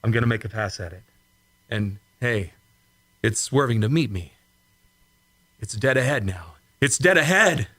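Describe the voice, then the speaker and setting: A man acting in an old-time radio drama as a shaken, insistent rocket test pilot, speaking urgently and haltingly. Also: on the low side, animated, fairly bright tone, dry recording. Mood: alarmed, entranced.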